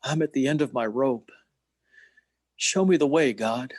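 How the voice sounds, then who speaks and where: A man's voice: medium pitch, a little slow, dry recording, lightly acted. An amateur actor playing a distraught, short-tempered family man in an old-time radio play, agitated and stammering. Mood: despairing prayer.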